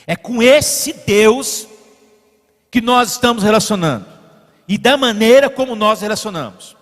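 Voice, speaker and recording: A pastor from Brazil preaching in his church, his high voice carried by a long reverb through the sanctuary. He presses his points with rising emphasis, then drops to quiet, conversational asides. Now and then he calls instructions to the man running the slides. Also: expressive, measured pace. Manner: directs the slide operator mid-sermon. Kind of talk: sermon.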